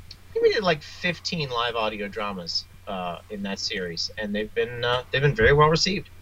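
A man speaking as an interview guest, a writer telling wry, self-deprecating anecdotes in a casual, animated way. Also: mid-range voice, dull audio.

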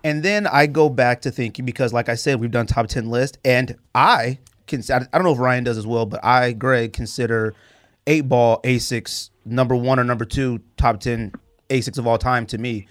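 A man's voice: mid-range voice, fairly even intonation, moderate pace, no room sound, studio quality. A man hosting a podcast in a casual, enthusiastic and effusive conversational way. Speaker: podcast host.

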